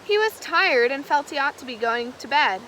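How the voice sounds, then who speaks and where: A woman reads a children's picture book aloud in a high, bright voice. Her delivery is expressive and very animated, with key words stressed as the story is told. There is some faint noise behind her. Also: moderate pace, low-quality recording. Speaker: storybook reader. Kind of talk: children's read-aloud, scripted narration.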